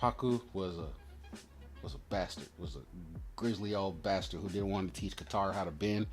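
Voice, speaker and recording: A man's voice: sits low, moderate pace, faint music behind. A man hosting a card pack opening, rambling casually in a slangy, profane, opinionated way as he tells stories. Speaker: host opening trading card packs. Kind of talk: casual rambling commentary and storytelling.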